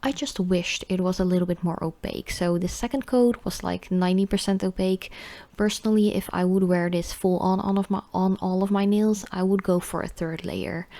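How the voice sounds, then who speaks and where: A woman's voice: fairly low pitch, steady tone, fairly quick, warm tone. A young woman with a Dutch accent, chatting casually with many fillers as she reviews nail polish. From Netherlands.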